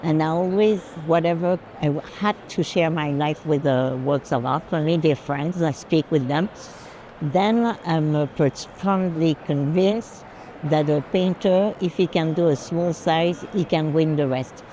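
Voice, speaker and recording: A woman talks casually as a curator. Her voice is warm and dark, and she states her views firmly. There is clear ambient noise around her. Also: sits low, measured pace, poor audio. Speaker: curator and collection advisor. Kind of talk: casual art discussion. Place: busy art fair.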